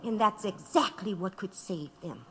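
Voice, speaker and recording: An actress playing a fiery, determined labor agitator, speaking with impassioned emphasis in an audio drama. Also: female voice, mid-range voice, lively intonation, normal speed, breathy edge. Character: fiery, determined woman labor agitator rallying her comrades. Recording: long hall reverb.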